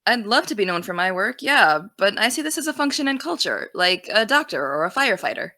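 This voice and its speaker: A young actress playing an earnest high school girl who wants to be a journalist, defensive and dry. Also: big pitch swings, a little fast, bright, forceful tone, no echo. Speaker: student podcast host. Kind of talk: audio drama.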